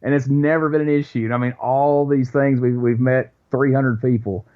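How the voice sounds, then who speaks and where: Guest, male, Chicago accent, mid-pitched, animated profane raconteur, lo-fi sound. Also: normal speed. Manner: animated, profane anecdote teller. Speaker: podcast guest. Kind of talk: casual storytelling chat. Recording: dull lo-fi recording.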